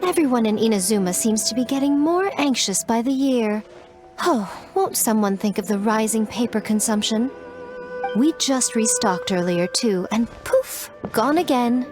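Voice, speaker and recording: A woman's voice: on the high side, lively intonation, moderate pace, over music, close to an everyday voice. A woman playing a teasing, self-important shrine head priestess in a video game, with a playful, sweetly condescending manner. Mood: teasing, sly.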